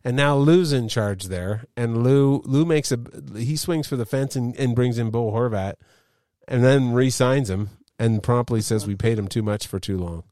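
A man's voice: mid-range voice, normal speed, dry recording, clean studio sound. A middle-aged man hosting a casual sports chat, rambling as he tells stories. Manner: animated, rambling storyteller. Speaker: podcast host.

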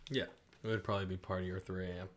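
A man co-hosting a podcast in casual chat, answering with flat, stubborn, deadpan comebacks. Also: sits low, very fast. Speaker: podcast co-host.

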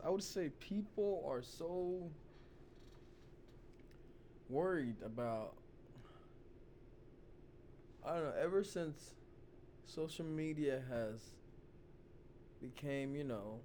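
A man hosting his own vlog, hoarse from losing his voice, rambling loosely in casual talk full of "you know" and "like". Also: mid-range voice, slow, pauses often, warm tone, slight background noise. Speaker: vlog host. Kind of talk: casual opinion talk to camera.

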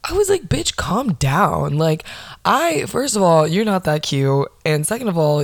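Low-voiced young woman ranting sarcastically. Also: no room sound, clean studio sound. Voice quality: low voice, not nasal. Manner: sarcastic, swears a lot, acts out mocking quotes. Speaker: podcast host. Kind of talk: casual ranting monologue.

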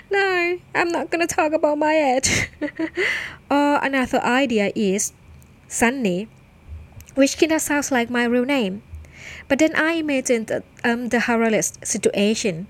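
A woman from Thailand with a Thai accent, hosting her own podcast in an upbeat, chatty way. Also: high voice. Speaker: podcast host. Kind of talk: casual solo podcast chat.

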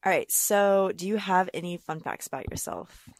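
A woman's voice: on the low side, expressive, normal speed, no room sound. A teenage girl hosting a student interview podcast, easygoing and casual. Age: teens. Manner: easygoing, brief affirmations.

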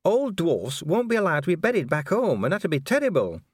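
Man doing a high, earnest young dwarf woman. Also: huge pitch swings, fairly quick, husky, no echo, studio quality, exaggerated voice. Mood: worried, deferential. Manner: polite, hesitant, explanatory. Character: earnest, anxious young female dwarf, deferential subordinate. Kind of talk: audiobook character dialogue.

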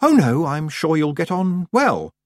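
A man voicing a sensible, quietly stubborn young girl witch in a storybook, plain-spoken and politely stiff. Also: on the high side, big pitch swings, measured pace, no echo, voice pushed up.

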